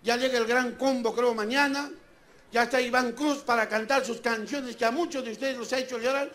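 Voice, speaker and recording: A man's voice: very high voice, fairly even intonation, a little fast, a bit bright. A man from Peru with a Peruvian accent performing stand-up comedy, telling stories theatrically and bantering with his audience. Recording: live show with crowd and background noise. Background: audience ambience.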